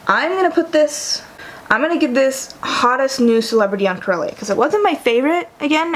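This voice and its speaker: A young woman vlogging casually about books, chatty and enthusiastic, correcting herself often and using plenty of fillers. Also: fairly high pitch. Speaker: book vlogger. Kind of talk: casual vlog tier ranking.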